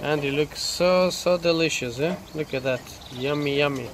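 Portuguese co-host, cheerful commenting, fairly high voice, outdoors with clear background noise. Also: male voice, normal speed. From Madeira, Portugal. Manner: cheerful, tags lines with "eh?". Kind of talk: casual commentary. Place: small back garden, outdoors.

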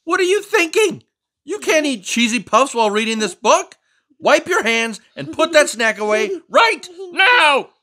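Guy doing a fussy storybook frog, super melodramatic, high voice swooping all over. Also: very animated, unhurried, pushed and bright, heavily acted. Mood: bossy, outraged. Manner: exasperated, melodramatic exclamations. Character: fussy, neat-freak male frog, excitable and melodramatic. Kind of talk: animated storybook read-aloud.

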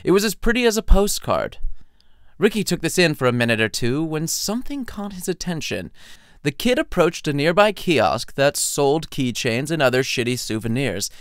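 A man's voice: a little high, animated, moderate pace, clean tone, no echo, studio quality. A man reading his own short story as scripted audiobook narration, with a wry, playful storytelling manner.

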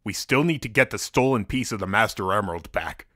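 A man's voice: lowish voice, lively intonation, fairly quick, bright, forceful tone, no echo, studio quality. A man playing a stressed, stubborn cartoon echidna with a blunt, defensive manner in a fan audio drama.

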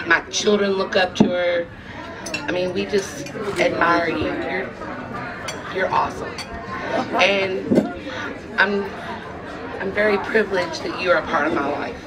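Hoarse woman speaking over a loud crowd. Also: fairly low pitch, steady tone, unhurried, long pauses. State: voice going, slightly hoarse. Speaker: guest giving a tribute. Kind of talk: informal heartfelt speech. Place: large banquet room with windows. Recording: distant mic in crowded hall. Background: loud party crowd.

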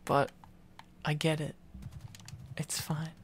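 A young man doing a boyfriend ASMR roleplay, clingy, teasing and silly, rambling playfully with halting repetitions. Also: a little high, completely flat delivery, vocal fry, slight background noise.

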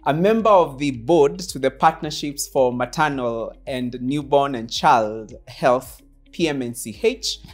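Host, effusive, repeats words, studio lounge set, clip-on mic, faint music underneath. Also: female voice, very deep voice, a little slow. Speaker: talk show host. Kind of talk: warm formal interview introduction.

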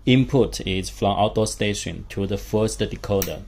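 A man giving a hands-on instructional demonstration as a product demonstrator, speaking haltingly in short phrases in a small room. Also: fairly low pitch. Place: small indoor room with a work table. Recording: steady background room noise.

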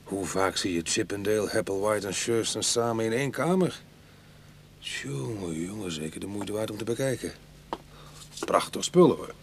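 A man playing a streetwise reformed burglar who tells his own uneasy story in a radio drama, husky and confiding. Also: lowish voice, lively intonation, normal speed, slight background noise. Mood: admiring. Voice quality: husky, breathy. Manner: hushed confiding narration, exclamatory in dialogue.

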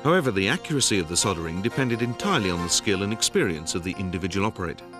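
A man giving scripted, measured narration for a factory training film, recorded as a studio voice-over. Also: deep voice, animated. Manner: measured, explanatory. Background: background music.

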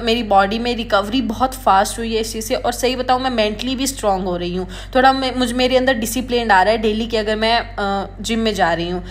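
A young woman in her twenties narrating her daily study and gym routine in a relaxed, chatty vlog voice-over, slipping English words into her talk. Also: a little high, slight background noise. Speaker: vlogger preparing for government exams. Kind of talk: day-in-the-life vlog voice-over. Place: recorded at home after the day.

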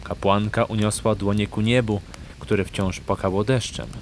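A man's voice: fairly low pitch, fairly even intonation, normal speed, a little hiss or hum. A man narrating a fantasy audio drama in a scripted, dramatic storytelling style.

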